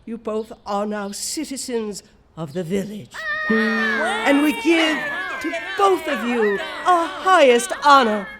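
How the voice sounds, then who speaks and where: A woman's voice: a little high, huge pitch swings, slow, over loud music, over a noisy crowd, exaggerated voice. A woman playing a stern female cat leader in an audio drama, speaking in a formal, ceremonial manner. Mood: ceremonial, proud. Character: solemn, stern female cat leading a village commune.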